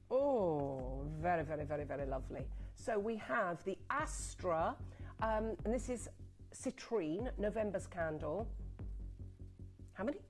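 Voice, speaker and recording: A woman presenting live on a TV shopping channel, chatty and excitable, rattling off buyer names and sounding a little sniffly from a cold. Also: mid-range voice. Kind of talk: live on-air sales pitch. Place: TV studio.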